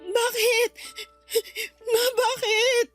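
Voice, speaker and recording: A woman playing an emotional young daughter in a radio drama, with a halting, heartfelt delivery. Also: very high-pitched, flat delivery, fairly bright tone, soft background music, exaggerated voice. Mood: anguished, tearful. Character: grieving young daughter losing the man she loves. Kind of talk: Tagalog radio drama acting.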